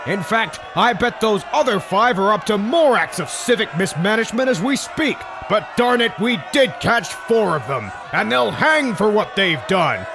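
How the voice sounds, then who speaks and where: A man's voice: high voice, sing-song, moderate pace, gravelly, few pauses, raised voice, crowd noise, background noise, heavily acted. A man playing a dim, blustering small-town mayor, folksy and declamatory, in a comic dub. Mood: indignant, rabble-rousing.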